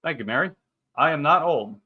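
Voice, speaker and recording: A man's voice: a little high, expressive, a little fast, breathy edge, phone-quality sound. A thirty-year-old man hosting a casual livestream, rambling along in upbeat banter as he chats with viewers. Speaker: livestream host. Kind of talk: casual livestream chat, reading and answering viewer comments.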